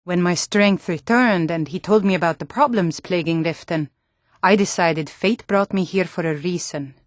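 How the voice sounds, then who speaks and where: An actress playing stern, earnest Nord warrior women and town guards in a fantasy video game, speaking in a firm, measured way. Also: female voice, lowish voice, no echo, clean studio sound, natural voice. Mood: earnest, resolute. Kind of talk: video game character dialogue.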